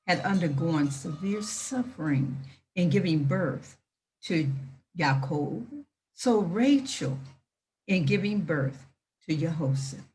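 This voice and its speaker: A woman reading aloud in an emphatic, deliberate manner, with informal asides. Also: deep voice, low-quality recording. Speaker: reader with commentary.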